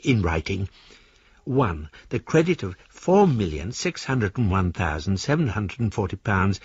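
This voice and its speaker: Pompous, swooping elderly British gentleman. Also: lowish voice, slightly rough, no room sound, lo-fi sound. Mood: politely astonished. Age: older. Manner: pompous, florid, formal. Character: verbose, self-satisfied elderly gentleman, an amateur philosopher. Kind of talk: radio drama letter monologue.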